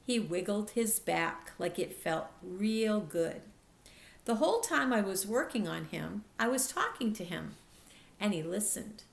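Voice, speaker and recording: A woman narrates a children's chapter book at a slow, careful pace. Her voice has a noticeable creak, and the room adds an echo around her words. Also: mid-range voice, lively intonation. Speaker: teacher reading aloud.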